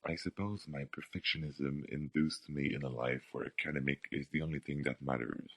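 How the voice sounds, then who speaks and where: A young male student with an extremely deep voice takes part in a scripted radio debate. He words his view formally, recorded dry and without room sound. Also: steady tone, fairly quick, breathy edge, dry recording. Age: young adult.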